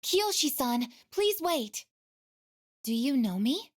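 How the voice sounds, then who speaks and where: A woman voicing a gentle, earnest young female android in a video game, with measured, formal, softly hesitant phrasing. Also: high voice, big pitch swings, heavily acted. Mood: earnest questioning. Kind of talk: English video game voice acting.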